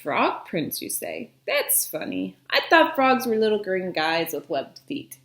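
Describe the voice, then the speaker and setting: A woman puts on a croaky old fairy-tale witch. She is suspicious, a little deaf and quietly menacing, speaking in a room with audible echo. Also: mid-range voice, sing-song, unhurried, pitched below the performer's own voice. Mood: dry, menacing.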